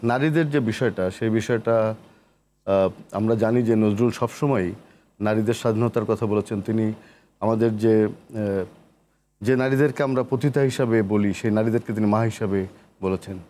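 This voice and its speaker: Low-voiced Bangladeshi male host, noisy background. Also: normal speed, pauses often, warm tone. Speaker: interview host, poet. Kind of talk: TV interview questioning.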